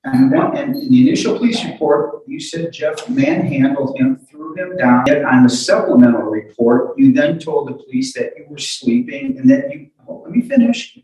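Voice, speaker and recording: Male courtroom questioner, halting but insistent, heard distantly in a very reverberant room. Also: fairly high pitch, sing-song, dark tone. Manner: halting, repeats opening words, insistent. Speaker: lawyer questioning a witness. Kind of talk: courtroom cross-examination. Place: courtroom hearing. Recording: echoey courtroom, distant microphone.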